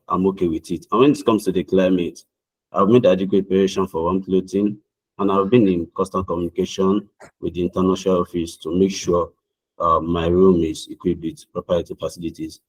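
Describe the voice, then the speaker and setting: Earnest young Nigerian man, deep husky voice. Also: poor audio. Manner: earnest, formal, repeats words when restarting. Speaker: mock visa applicant. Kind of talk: rehearsed mock interview answers. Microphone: video call.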